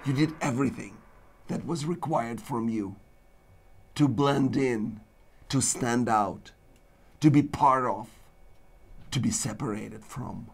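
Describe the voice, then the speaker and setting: A man hosting a talk show, declaiming in dramatic lists while his voice is going hoarse. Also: mid-range voice, slow, long pauses. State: losing his voice. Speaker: show host. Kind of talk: rambling philosophical monologue. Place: studio with green-screen backdrop.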